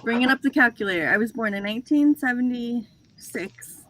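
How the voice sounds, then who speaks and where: A woman's voice: on the high side, animated, moderate pace, a bit bright, slight background noise, rough recording. A woman in her forties hosting a casual livestream chat, talkative and self-deprecating, laughing often as she tells stories. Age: 40s. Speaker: host of a reseller livestream.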